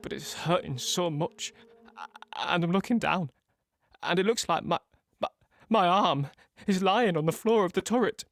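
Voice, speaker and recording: An actor playing a young wounded British soldier in an audio drama, reliving battle in a halting present-tense monologue with repeated words. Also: male voice, high voice, a bit bright, no room sound. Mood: shaken, urgent. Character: young British soldier, wounded in Normandy, shaken but dogged.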